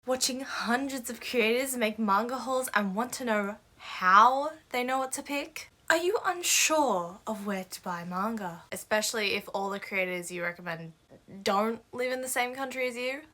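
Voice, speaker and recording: A woman's voice: fairly high pitch, normal speed, pushed and bright. A young Australian woman with an Australian accent, talking casually and enthusiastically to camera as a manga vlogger. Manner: chatty and enthusiastic, with asides and laughs. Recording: faint background music.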